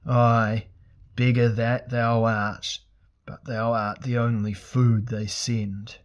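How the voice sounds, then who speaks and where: A man's voice: medium pitch, little pitch movement, takes it slowly, dull audio, lightly acted. A man voicing a huge, ancient burning god in a fantasy story, hungry and imperious, with solemn archaic proclamations. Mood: hungry, menacing. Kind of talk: dramatic fantasy audiobook character voice.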